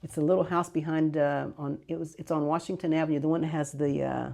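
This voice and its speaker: An older woman who grew up speaking Greek at home, fondly recalling her childhood in a rambling interview with soft laughs and self-corrections. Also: low-pitched, fairly even intonation, fast talker, creaky. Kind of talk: casual reminiscing interview.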